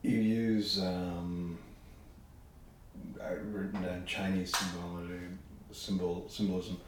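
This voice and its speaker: Deep-voiced man hosting amid heavy echo. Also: little pitch movement, slow. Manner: hesitant, many "um"s. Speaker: interviewer host. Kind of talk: conversational interview. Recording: very echoey room with background noise.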